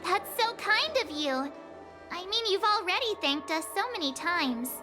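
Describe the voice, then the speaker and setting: A bubbly young adventurer girl in a video game. Her voice is extremely high and friendly, over clear background music. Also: animated, measured pace. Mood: modest, friendly. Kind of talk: video game character acting.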